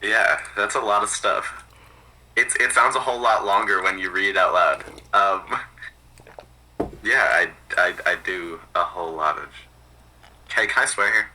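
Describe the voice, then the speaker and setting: A man chatting casually as a podcast guest, halting and repeating words as he explains things. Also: low-pitched, pushed and bright, low-quality recording. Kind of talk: casual chat.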